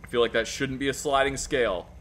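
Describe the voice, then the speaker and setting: A man's voice: medium pitch, fast talker, slight background noise. A man giving casual, joking gaming commentary. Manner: animated, joking, laughing. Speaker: let's play commentator.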